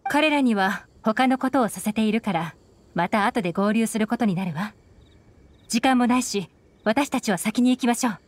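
A woman's voice: fairly high pitch, lively intonation, normal speed, a little hiss or hum, natural voice. A woman playing a composed, shrewd young intelligence chief who speaks with authority in an anime game. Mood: calm, focused. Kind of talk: Japanese anime game voice acting. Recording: game music behind.

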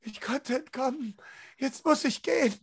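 A man plays a desperate, frightened captive woman in a tabletop game. The voice is high and urgent. The words come as repeated pleas for rescue. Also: moderate pace, breathy edge, no room sound, heavily acted. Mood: desperate pleading. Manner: pleading, urgent, repetitive.

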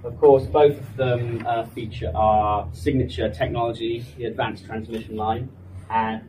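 Male product presenter, medium pitch, measured and hesitant; picked up far from the mic over clear room noise. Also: flat delivery, dark tone. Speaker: company presenter. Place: indoor trade show demo room. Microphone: camera some distance away. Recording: distant mic, noisy event hall. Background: clear room ambience noise.

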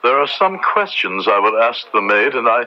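An actor playing a stern, dutiful Puritan judge in a radio drama, with a formal, authoritative, archaic way of speaking. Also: male voice, mid-range voice, big pitch swings, moderate pace, lightly acted. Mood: stern, resolute. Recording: dull old radio recording.

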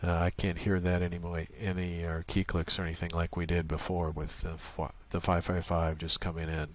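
Deep-voiced man giving a walkthrough. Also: level tone, moderate pace. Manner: explanatory, step-by-step. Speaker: tutorial presenter. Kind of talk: casual unscripted software walkthrough.

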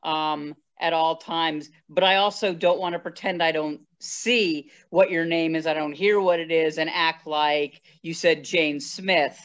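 Woman participant, careful and direct, very low voice, dull call sound. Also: fairly even intonation, unhurried, few pauses.

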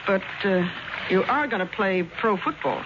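A woman's voice: medium pitch, lively intonation, moderate pace, close to an everyday voice. Brazen middle-aged mother-in-law, acted by a woman, muffled radio. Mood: calculating. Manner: drawling, teasing, domineering. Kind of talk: 1970s radio drama acting. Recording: muffled, noisy 1970s radio recording.

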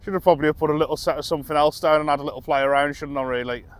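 British man, middle-aged, fairly high voice, chatty outdoor fishing commentary, camera mic at a distance. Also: fairly even intonation, very fast. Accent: British English. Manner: chatty, self-amused, talks to camera while fishing. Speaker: angler vlogger. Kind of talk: casual running commentary. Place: dock by the sea at night, outdoors. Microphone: camera on tripod, at a distance.

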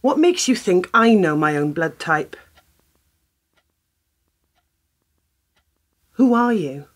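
Actress as wary, husky, sardonic young woman. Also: lowish voice, very animated, normal speed. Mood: challenging, suspicious. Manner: curt, dry, challenging. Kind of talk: radio play acting.

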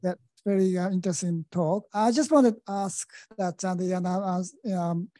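A man's voice: high-pitched, normal speed, warm tone, no room sound, clean studio sound. A man, asking a question hesitantly with many fillers. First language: Japanese. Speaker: audience member asking a question.

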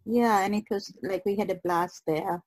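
A woman guest chatting casually on a podcast, halting as she searches for her words. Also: mid-range voice, fairly even intonation, darkish tone, no echo, low-quality recording. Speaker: guest artist.

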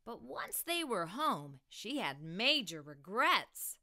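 A middle-aged woman reading aloud to young children as a storytime host, with expressive, deliberate delivery. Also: fairly high pitch. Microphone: lapel mic.

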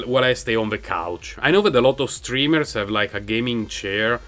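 A man with a non-native English accent chatting casually while playing a game on his couch, rambling and laughing often, into a mic in front of him. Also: mid-range voice. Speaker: gaming channel host. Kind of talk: casual gameplay commentary. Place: home, on a couch. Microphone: microphone raised in front of him.

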